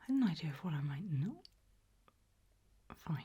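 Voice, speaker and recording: A woman playing a friendly, forgetful research scientist in an ASMR roleplay, speaking in a hesitant, polite, breathy whisper. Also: low voice, big pitch swings, moderate pace, heavily acted. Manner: hesitant, polite, soft-spoken.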